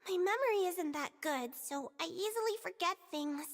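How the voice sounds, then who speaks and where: A woman's voice: very high-pitched, animated, normal speed. A woman voicing a small, sweet, forgetful creature girl in a video game, polite and childlike. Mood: innocent, wistful. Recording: game music behind.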